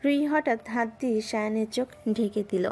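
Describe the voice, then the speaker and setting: A woman narrates a love story in a gentle, scripted storytelling style. Her voice sits a little high. Soft music plays behind her. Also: brisk. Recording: music bed underneath.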